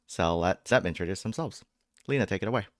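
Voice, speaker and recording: A man hosting a podcast, introducing guests and asking questions in a friendly, measured way. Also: deep voice, lively intonation. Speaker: podcast host.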